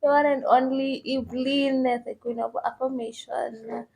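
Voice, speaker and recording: A woman's voice: sits high, flat delivery, a little slow, gravelly, dark tone. A woman with a Kenyan accent co-hosting a podcast, teasing and laughing often in casual banter. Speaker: podcast co-host.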